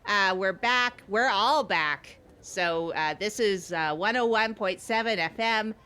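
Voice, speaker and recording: A woman's voice: medium pitch, slow pace, bright, forceful tone, smooth. A woman playing a chummy, folksy insurance agent trying out as a radio co-host, rambling and teasing in improvised comedy chat. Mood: upbeat. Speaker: trial co-host of a comedy podcast.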